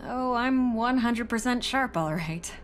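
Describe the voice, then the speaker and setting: Earnest, articulate space scientist. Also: female voice, on the high side, lively intonation, takes it slowly. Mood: wry, nervous. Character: sharp, curious female scientist on a space mission. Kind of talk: video game cutscene acting.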